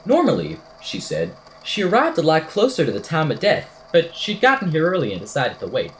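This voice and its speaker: An eccentric, whimsical podcaster played by a woman spins a supernatural tale for an audio drama. The voice sits fairly low and swings about in lively fashion, with wordy, theatrical, wry delivery. There is a little noise behind it. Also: fairly quick. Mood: storytelling, wry. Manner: verbose, theatrical, wry deadpan. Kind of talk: amateur audio drama, storytelling monologue.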